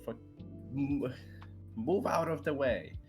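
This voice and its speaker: A man's voice: medium pitch, takes it slowly, a little mellow, faint music behind, voice pushed up, natural voice. Man acting a sneering, curt student bully. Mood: irritated.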